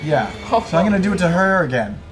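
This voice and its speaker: A woman's voice: fairly low pitch, very animated, quick pace, poor audio. A woman chatting casually as a player in a tabletop game, with a dry, joking manner. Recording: loud music behind the voice.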